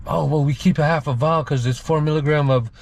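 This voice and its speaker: A man's voice: on the high side, fairly even intonation, fairly quick, a little mellow. A man riding as a passenger, telling a personal story in a casual, colloquial and emphatic way, often repeating himself. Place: inside a moving car. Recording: clear car cabin road noise.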